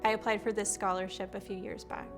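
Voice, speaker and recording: A woman speaks in an interview testimonial. Her pitch is middling and moves very little, keeping an even line. Quiet music plays behind. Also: fast talker. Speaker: scholarship recipient.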